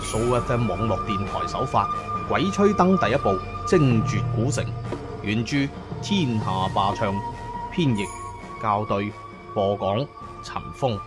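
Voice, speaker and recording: A man with a Cantonese accent narrating a scripted story in a measured, dramatic, suspenseful storyteller's delivery. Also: medium pitch, huge pitch swings, over loud music. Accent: Hong Kong or Guangdong Cantonese. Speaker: audiobook narrator.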